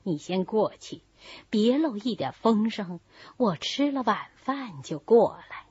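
A woman reading a novel voices an older, scheming wife of a wealthy master, speaking in a soft, coaxing manner. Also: medium pitch, sing-song, a little slow, slightly rough, dry recording, muffled recording, full character voice. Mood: confiding. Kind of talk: storybook character reading.

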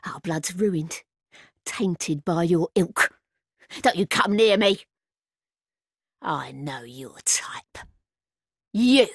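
A half-mad old British woman from a gothic game. She speaks slowly, scornful and distrustful, shifting between scolding and muttering. The sound is dry and close. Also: fairly low pitch, a bit bright, husky, no echo, full character voice. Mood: bitter, suspicious. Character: bitter, suspicious, half-mad old townswoman. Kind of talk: dramatic gothic video game acting.

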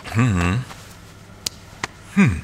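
A mute, gruff male character in an audio drama. He speaks only in low, drawn-out grunts and hums. Clinks and clatter are clear behind him. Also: sing-song, a little hiss or hum, full character voice. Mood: gruff, wordless. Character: mute, gruff man who communicates in hums.

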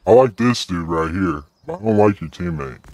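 A man's voice: low voice, lively intonation, moderate pace, darkish tone, dry recording. A man in casual, crude, playful banter while gaming online, full of slang. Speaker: gaming video creator. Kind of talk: casual joking banter during online game. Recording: faint noise, game sounds.

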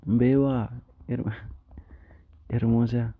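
A man with a Mexican accent playing a flustered, easily tempted gamer boyfriend in an intimate ASMR roleplay, talking in a halting, coaxing way. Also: medium pitch, little pitch movement, no room sound, phone-quality sound. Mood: flustered, pleading.

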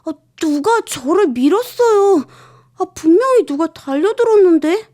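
A young schoolboy, played by a woman, tells his own story with a sense of grievance. The voice is high and very animated, plaintive and expressive in storybook style. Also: a little slow. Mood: protesting.

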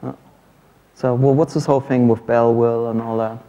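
A man with a German accent, a native German speaker, interviewing a guest on stage with measured questions and dry humor. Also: mid-range voice, little pitch movement, warm tone, highs cut off. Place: hall with an audience. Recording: long hall reverb.

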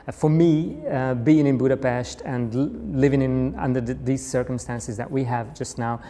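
Mellow-voiced hesitant man art critic. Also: mid-range voice, steady tone, moderate pace, big-room sound. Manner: hesitant, many restarts. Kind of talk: panel discussion.

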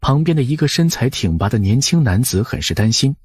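A man's voice: mid-range voice, big pitch swings, a little fast, darkish tone, breathy edge, no echo, clean studio sound. A man narrating an audiobook in a scripted storytelling style.

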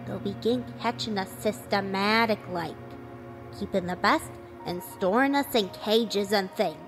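A woman's voice: mid-range voice, a little slow, over music, close to an everyday voice. A woman playing a cynical, defiant survivor of an alien invasion in a radio play, with blunt, folksy, clipped phrasing. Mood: bleak, blunt. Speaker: actor in an amateur radio drama.

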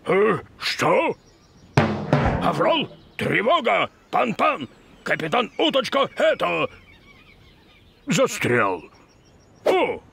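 Casting: flustered cartoon ship captain, melodramatic, slow and halting, wildly swooping pitch, music underneath. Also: male voice, huge pitch swings, vocal fry, fairly bright tone, a little hiss or hum, exaggerated voice. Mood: alarmed, flustered. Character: talking cruise ship captain, flustered adult male, comic. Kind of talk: children's cartoon voice acting. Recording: music behind the voice.

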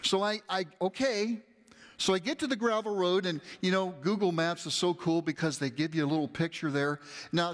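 A middle-aged man, a pastor, telling a story in a lively, conversational sermon while moving around a large church stage. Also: a little high, long reverb tail. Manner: animated, conversational, gestures while walking the stage.

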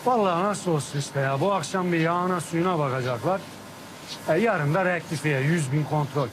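An actor playing a quick-tempered, domineering middle-aged man who scolds and shouts in coarse slang. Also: on the high side, background noise, natural voice. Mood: wry. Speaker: Affan, a local strongman. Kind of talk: TV drama acting. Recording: TV drama with music score.

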